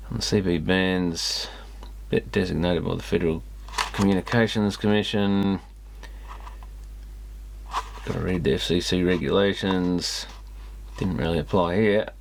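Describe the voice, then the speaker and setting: Low-pitched man thinking aloud. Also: creaky, slight background noise. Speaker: host of an electronics repair video channel. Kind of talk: casual commentary mixed with reading a manual aloud.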